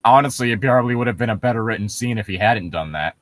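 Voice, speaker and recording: A man's voice: lowish voice, fast talker, dry recording. A man co-hosting a comedy podcast in casual banter, swearing crudely with mock outrage as he riffs on a story. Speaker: podcast co-host reading and riffing on a story.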